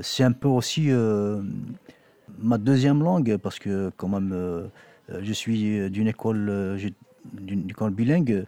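A man's voice: lowish voice, dark tone, dry recording. A man from Algeria, a journalist and poet whose first language is not French, answering in an interview with many hesitations and reading a poem. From Algeria (Kabylie). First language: Kabyle or Arabic, not French. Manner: hesitant, many fillers and restarts.

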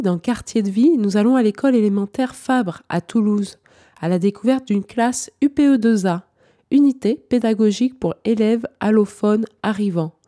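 A woman presenting a radio documentary in a measured, clearly articulated scripted narration. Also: medium pitch, warm tone.

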